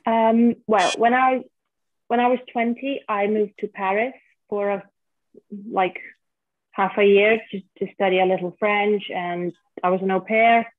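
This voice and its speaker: A woman's voice: mid-range voice, unhurried, dry recording. Icelandic woman on a tinny line. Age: 30s. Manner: relaxed, plainspoken storytelling. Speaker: guest. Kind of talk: casual interview chat. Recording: dry, tinny phone-like line.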